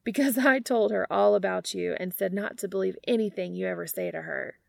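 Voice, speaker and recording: A man's voice: high-pitched, fairly quick, creaky, no room sound, clean studio sound. A woman voicing an affectionate, amused younger brother in a story, teasing and given to whining and exclaiming.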